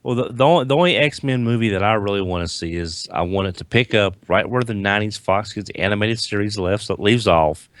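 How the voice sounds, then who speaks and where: A man with a slightly low voice talks informally on a panel. The recording is dry, with no audible room. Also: a little fast, few pauses, no room sound. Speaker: podcast panelist. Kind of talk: casual chat.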